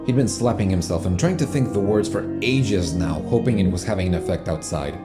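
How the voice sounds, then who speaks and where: A man's voice: low voice, a little fast, lightly acted. A man reading scripted narration for a tense science-fiction audio drama. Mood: narrating. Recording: loud music behind the voice. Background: loud dramatic music.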